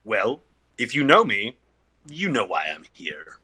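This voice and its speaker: Self-important messenger acted by a man, bright crisp attack, swooping pitch, officious comic delivery. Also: sing-song, measured pace, heavily acted. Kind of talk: comedic stage play acting.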